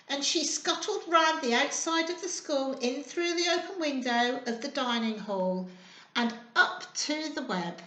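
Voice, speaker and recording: A woman storyteller with a high, bright voice reads to small children. She is expressive and encouraging, asking them questions as she goes. She takes her time, in an echoey room. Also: unhurried. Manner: expressive, sing-song, encouraging. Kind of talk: animated children's storytime reading with questions to listeners.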